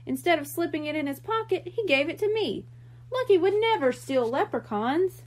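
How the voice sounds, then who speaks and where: A genial small-town bookseller from a children's storybook, voiced by a woman, high-pitched and emphatic. The delivery swings widely in pitch, full of friendly conviction. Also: animated, moderate pace, rough recording. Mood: indignant.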